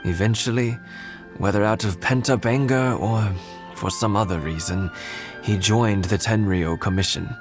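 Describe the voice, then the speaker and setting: Man playing a low-voiced, mild elderly father. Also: over music, natural voice. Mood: reflective, regretful. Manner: measured, reflective. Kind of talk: video game voice acting.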